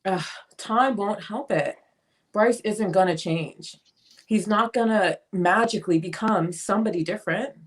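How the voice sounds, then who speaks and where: A woman's voice: mid-range voice, measured pace, natural voice. A woman playing a blunt, free-spirited, opinionated sister in a dramatic play reading. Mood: insistent, preachy. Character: blunt, free-spirited sister pushing self-liberation on her sibling.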